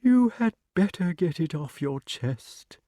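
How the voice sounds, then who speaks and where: A man's voice: high voice, lively intonation, takes it slowly, mellow, no echo, pitched above the performer's own voice. A man voicing a sarcastic, cold old male owl in an audiobook reading, with clipped, dry speech. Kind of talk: audiobook character voice.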